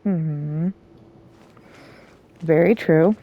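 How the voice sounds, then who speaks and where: A woman's voice: low voice, drawn-out words, phone-quality sound. A woman giving casual, reactive and giggly commentary while playing a game.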